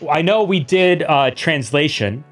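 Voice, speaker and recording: A man's voice: fairly high pitch, expressive, a little slow, faint music behind. A man hosting a podcast in casual conversation, rambling with lots of restarts and repeated words. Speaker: podcast host.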